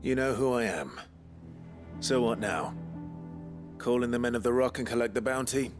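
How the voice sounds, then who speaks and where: An actor playing a composed, earnest young outlaw lord in a video game, speaking in a measured, direct, restrained way. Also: male voice, medium pitch, music in the background, close to an everyday voice. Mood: wary, defiant. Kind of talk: dramatic video game acting.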